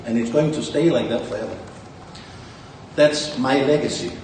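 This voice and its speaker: A man's voice: fairly high pitch, a little mellow, a little hiss or hum. Boastful Danish man in an echoey hall. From Denmark. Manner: boastful, rambling, salesy. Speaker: company founder giving a speech. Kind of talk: conference speech. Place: large conference hall. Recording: distant mic in an echoey hall with faint ambience.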